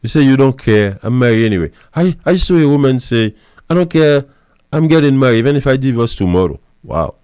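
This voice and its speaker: Man, pastor, smooth clear voice, dry close sound, repetitive lecturing. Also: mid-range voice, animated, fairly quick, a little mellow, no echo. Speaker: pastor and marriage counselor. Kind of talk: preachy advice monologue.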